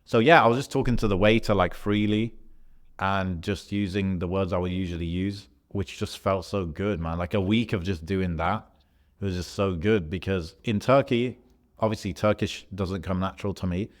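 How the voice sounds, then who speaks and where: A man from Algeria, a podcast co-host, telling a travel story in a relaxed, rambling way with slang and fillers. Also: low-pitched, normal speed. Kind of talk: casual podcast chat.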